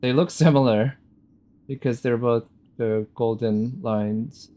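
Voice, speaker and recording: A man giving casual, unhurried live commentary as a game streamer, musing aloud as he goes. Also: medium pitch, fairly even intonation, mellow. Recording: game music behind the voice. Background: game music.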